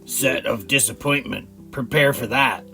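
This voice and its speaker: A man voicing an abusive, sneering heckler with a gravelly, forceful voice, scornful and profane. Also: medium pitch, unhurried, soft background music, pitched above the performer's own voice, low-quality recording. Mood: contemptuous. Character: abusive, sneering male heckler, the comedian's inner critic.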